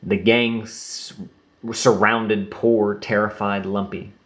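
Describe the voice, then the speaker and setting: A man reading a children's storybook aloud in an expressive, unhurried way. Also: fairly low pitch. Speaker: storybook reader.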